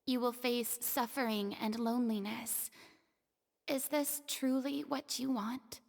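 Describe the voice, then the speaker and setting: An actress voicing a young, earnest, compassionate woman investigator in a video game, speaking softly and half-whispering. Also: a little high, steady tone, slow. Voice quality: soft, breathy, partly whispered. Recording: game audio with long reverb.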